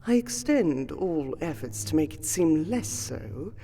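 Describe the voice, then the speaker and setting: An ancient vampire noblewoman, played by a woman, addresses the listener in a fantasy roleplay. She speaks very unhurriedly in formal, archaic phrases, with a wistful and soothing manner. Her breathy voice often softens toward a whisper, with music underneath. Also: low-pitched, very animated, a little slow, exaggerated voice. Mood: reassuring, formal. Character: gracious ancient vampire lady, noble keeper of a magical sanctum.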